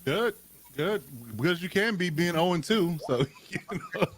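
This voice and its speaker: A man's voice: sits high, animated, normal speed. A man who is a guest from another podcast, chatting casually over a video call, joking and repeating his own phrases. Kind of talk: casual sports podcast chat.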